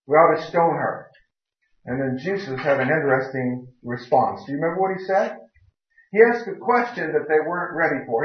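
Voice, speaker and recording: A man preaching a sermon as pastor, in a relaxed, conversational way that rises for emphasis. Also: on the high side. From raised in the country where he speaks, years in Taiwan. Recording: echoey room, distant mic, dull sound.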